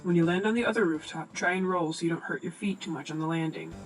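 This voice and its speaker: A woman's voice: lowish voice, quick pace, natural voice. A woman playing a bossy male supercomputer in a boy's head, giving dry instructions in an amateur comic dub. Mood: commanding. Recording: amateur old-device recording, music behind.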